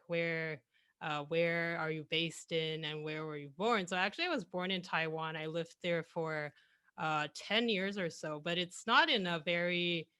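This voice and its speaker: A woman's voice: low voice, sharp tone, no room sound. A young woman presenting her career over a video call, chatty and self-correcting, often laughing between phrases. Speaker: guest speaker. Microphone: headset on a video call.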